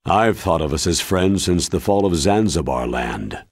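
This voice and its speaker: An American actor playing a weary, earnest older retired military commander in a video game, speaking gravely and measuredly in a husky voice. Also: male voice, animated, unhurried, no echo, clean studio sound, heavily acted. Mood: earnest, pleading. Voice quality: deep husky. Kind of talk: video game cutscene acting.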